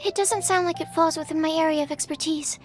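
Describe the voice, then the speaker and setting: A shy young female alchemist in a video game. Her voice is extremely high, and she explains things earnestly and politely, often hesitant and apologetic. Faint music sits underneath. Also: steady tone, quick pace.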